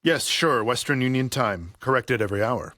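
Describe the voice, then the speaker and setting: An actor playing a polite, deferential, chatty barber in an audio play. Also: male voice, mid-range voice, moderate pace, pushed and bright, dry recording, studio quality, close to an everyday voice. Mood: polite, obliging. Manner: polite, deferential, repeatedly saying sir. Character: deferential, chatty barber quietly stalking his customer. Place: professional recording studio.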